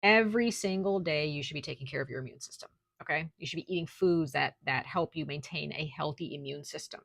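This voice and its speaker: A woman giving a casual tarot reading at home, speaking softly at times and peppering her talk with "like" and "okay?". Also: low voice, brisk, creaky, no room sound. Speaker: tarot reader. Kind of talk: casual spiritual reading to camera. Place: at home, noise outside.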